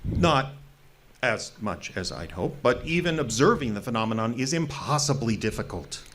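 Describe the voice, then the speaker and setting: An actor playing a fanatical, earnest fringe researcher who lives wild in the woods, stammering and pleading in an audio drama. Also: male voice, medium pitch, lively intonation, normal speed, a bit bright. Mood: earnest, insistent.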